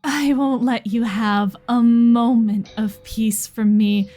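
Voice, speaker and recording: A possessive yandere dating-sim girl, as a woman acts her out in an ASMR roleplay, her voice set fairly high. She drawls her lines very slowly, teasing and sweetly menacing. Faint music hums behind her. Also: steady tone, dark tone.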